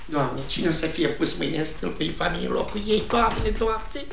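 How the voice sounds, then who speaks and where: Creaky, fretful old-time jailer. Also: male voice, on the high side, lively intonation, background noise. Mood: anxious, lamenting. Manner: lamenting, long-winded storytelling. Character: fretful, servile town-hall usher and jailer. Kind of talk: theatrical radio comedy acting.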